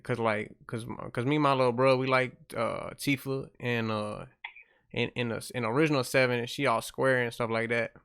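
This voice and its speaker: A man's voice: mid-range voice, steady tone, moderate pace, fairly bright tone, smooth, lightly acted. A man playing a clueless, slangy, easygoing young gamer as a prank caller, rambling hesitantly and repeating himself in a casual phone conversation. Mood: rambling, hopeful.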